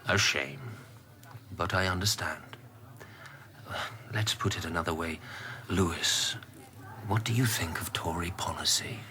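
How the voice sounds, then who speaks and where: An actor playing a shrewd English Conservative politician who questions politely and in measured phrases, in a radio drama. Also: male voice, sits low, sharp tone. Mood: quietly probing. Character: shrewd, courteous British Conservative politician, quietly probing.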